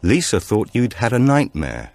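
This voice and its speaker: A troubled, earnest father in an audiobook thriller, voiced by a male reader. He has a low voice with expressive movement in it, and sounds uneasy and apologetic. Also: normal speed, gravelly, no echo, exaggerated voice. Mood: uneasy, probing.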